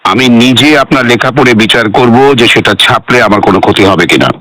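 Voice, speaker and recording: A man's voice: mid-range voice, fast talker, pushed and bright, no room sound, rough recording. An actor playing a guarded, menacing man in a radio drama, speaking in a clipped, formal, commanding manner. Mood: threatening.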